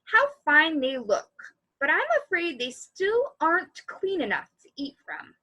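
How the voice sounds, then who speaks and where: Woman reading as a sensible, lively older sister. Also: high-pitched, unhurried, pitched above the performer's own voice, exaggerated voice. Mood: practical. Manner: encouraging, organising. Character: kindly, sensible, motherly older sister, a young girl. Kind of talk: children's storybook character voice.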